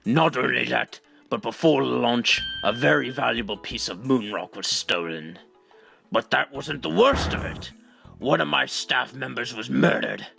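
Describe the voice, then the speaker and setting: A man's voice: medium pitch, measured pace, creaky, sharp tone, exaggerated voice. A man playing a pompous, secretive older space center director in a video game fandub, speaking in a theatrical, declamatory way. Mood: bitter. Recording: amateur recording with music.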